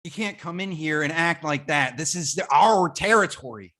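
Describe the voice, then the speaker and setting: A man's voice: a little high, animated, bright, forceful tone. A man playing an awkward, defiant guy turning dog-like, stammering in a comedy table read. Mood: defensive. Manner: stammering, halting.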